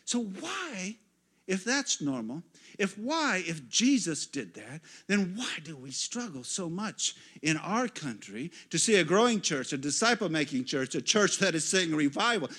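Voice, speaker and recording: High-voiced, bright preaching man. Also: big pitch swings, unhurried, breathy edge. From United States. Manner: storytelling, swings from hushed asides to loud exclamations. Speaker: guest pastor. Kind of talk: sermon. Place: church.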